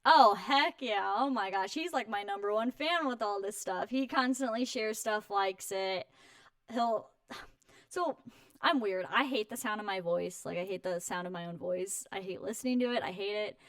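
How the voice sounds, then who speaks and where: A woman's voice: a bit bright, no room sound. Young woman in an interview, super bubbly and chatty, laughs easily, dry close sound. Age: 20s. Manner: bubbly, high-energy, effusive, quick to laugh. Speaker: interview guest, home warranty company representative. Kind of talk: casual chat.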